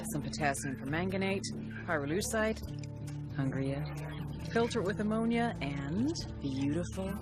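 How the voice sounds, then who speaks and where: Tough female agent, American accent, low pitch, lively intonation, sardonic, blunt, game cutscene, music underneath. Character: tough, foul-mouthed woman intelligence agent, sardonic and vindictive. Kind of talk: cinematic video game acting and briefing narration.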